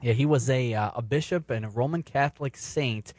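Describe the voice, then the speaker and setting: A man's voice: medium pitch, brisk, a little mellow, dry recording. A man giving an informal explanation as a podcast contributor.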